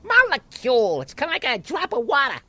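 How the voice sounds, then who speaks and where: A woman's voice: high-pitched, huge pitch swings, brisk, bright, forceful tone, no room sound, full character voice. A woman playing a plucky, chatty water molecule living inside a snowman, matter-of-fact and pushy, in a children's audio drama.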